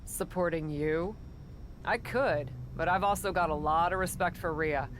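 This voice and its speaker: Woman playing a cocky low-voiced female wrestler. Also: normal speed, background noise, lightly acted. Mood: bossy teasing. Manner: sassy, blunt, commanding. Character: cocky, combative young female wrestler, tough and bossy. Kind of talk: video game cutscene acting.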